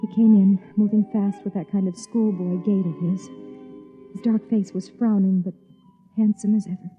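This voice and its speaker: An actress playing an anxious, desperate young wife in a 1950s radio drama, speaking hesitantly and wistfully. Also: female voice, mid-range voice, fairly even intonation, warm tone, close to an everyday voice. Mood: bitter narration. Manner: hesitant, halting. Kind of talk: 1950s radio drama acting, with second-person narration. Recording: tinny 1950s radio recording, music behind.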